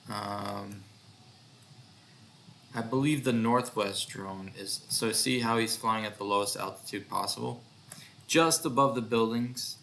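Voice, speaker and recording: A man narrates a casual walkthrough of his own project in a lowish but bright, sharp-edged voice. He takes his time, hesitating and thinking aloud as he goes. There is a little background noise on the recording. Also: a little slow, gravelly. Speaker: developer presenting own project.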